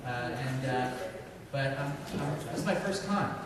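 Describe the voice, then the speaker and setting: A man, a guest writer, giving halting, unscripted thank-you remarks to a convention audience. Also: mid-range voice, animated, heavy background noise. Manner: hesitant, halting. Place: large convention hall, crowd behind. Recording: very echoey hall, distant mic, loud crowd.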